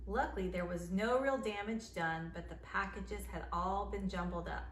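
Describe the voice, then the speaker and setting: A woman's voice: medium pitch, normal speed. A woman reading a children's story aloud as narrator. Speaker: storybook reader. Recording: echoey room, faint noise.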